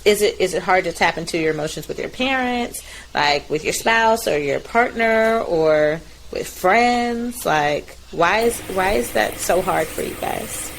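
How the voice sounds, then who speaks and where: Lower-voiced woman host, affirming. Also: expressive, unhurried, creaky, few pauses, slight background noise, poor audio. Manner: affirming listener, lots of mm-hmms, loose filler-heavy questions. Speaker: therapist podcast host. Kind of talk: casual interviewing.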